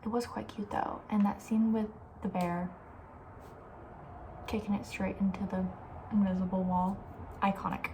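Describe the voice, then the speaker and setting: A woman speaking softly with breathy, near-whispered edges, chatting casually and rambling with playful opinions as an ASMR host. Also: medium pitch, flat delivery, moderate pace, pauses often, a little hiss or hum, low-quality recording. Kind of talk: soft-spoken ASMR casual chat.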